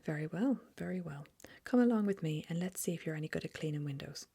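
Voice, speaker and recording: A woman voicing a hot-tempered, rich old male duke in a breathy whisper, shouting under her breath. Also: sits low, animated, fast talker, exaggerated voice. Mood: pleased. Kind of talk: whispered storybook reading.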